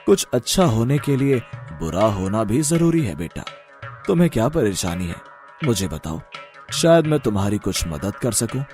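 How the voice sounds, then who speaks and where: A man voicing a gentle, wise holy man in a moral-story cartoon, giving soothing, fatherly counsel. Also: lowish voice, big pitch swings, normal speed, soft background music. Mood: consoling.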